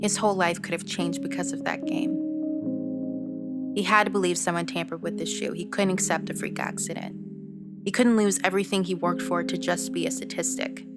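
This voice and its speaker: A young woman playing an earnest, analytical teenage girl detective, narrating a scripted audio drama in a measured, matter-of-fact way. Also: on the low side, steady tone, close to an everyday voice. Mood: reflective, measured. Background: prominent music.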